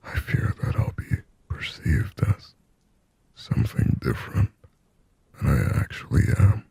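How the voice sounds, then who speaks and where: A man playing a lonely, yearning sleep paralysis demon in a slow, breathy, raspy ASMR whisper, close to the microphone. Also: extremely low pitch, expressive, mellow, dry recording, heavily acted. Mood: anguished, fearful. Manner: slow, brooding, pleading, poetic. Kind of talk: whispered ASMR roleplay monologue.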